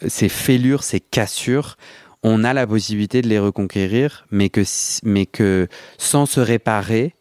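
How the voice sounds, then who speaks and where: A man in his late thirties with a Parisian accent, hosting an intimate podcast interview in a reflective, animated, casual way. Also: on the low side, a little slow, clear, resonant tone, no room sound, studio quality. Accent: Parisian French. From mainland France. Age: 30s. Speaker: podcast host.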